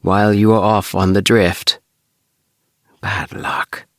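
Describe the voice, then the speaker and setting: A man plays a young alien spaceman from a sci-fi storybook, chatty and adventurous. The delivery is relaxed and unhurried, with little hesitations, in a low male voice on a dry recording. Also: no room sound. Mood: sympathetic. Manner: relaxed, with sighs and hesitant uhs.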